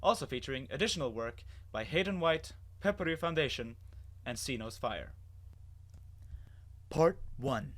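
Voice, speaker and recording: A man's voice: medium pitch, expressive, moderate pace, pauses often, fairly bright tone. A man reading a scripted story aloud as a dramatic narrator. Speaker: narrator and author of a fan fiction reading.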